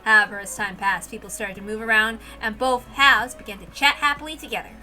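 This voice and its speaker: A woman reading a visual novel aloud in an expressive, informal way, dramatic in narration and casual in asides. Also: a little high, brisk, faint music behind.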